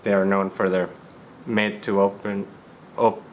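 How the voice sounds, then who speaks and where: Young guy w a deep bassy voice talking mics in a studio, chill and rambly, faint hum behind. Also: flat delivery, unhurried, narrow, tinny audio. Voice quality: deep bass-heavy voice. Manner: loose, conversational explaining with many fillers. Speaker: studio engineer. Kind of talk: gear tutorial. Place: recording studio.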